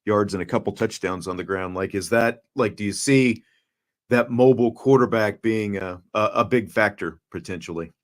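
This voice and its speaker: A middle-aged man hosting a casual sports talk show over a video call, joking and animated. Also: on the low side, normal speed. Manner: joking, animated, gestures while talking. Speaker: show host. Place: home studio with framed pictures. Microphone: large studio mic with headphones, video call.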